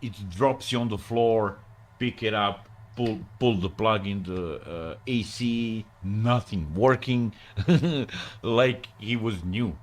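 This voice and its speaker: A man from Croatia with a Croatian accent, chatting casually on a live stream in broken English, rambling with many pauses. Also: fairly low pitch. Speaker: livestreamer.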